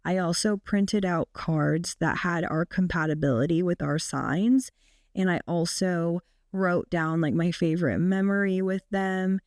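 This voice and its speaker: A woman in her twenties hosting a casual solo podcast, her naturally raspy, deep voice made hoarse by a recent illness, chatting with frequent fillers. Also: a little slow, a little mellow, dry recording, clean studio sound. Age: 20s. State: sick, hoarse. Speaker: podcast host.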